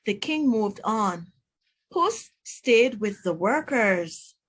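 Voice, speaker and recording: A woman teacher reading a picture book aloud to young children. Her voice sits a bit high and moves slowly, with each short sentence spoken clearly. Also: expressive. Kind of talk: children's read-aloud narration.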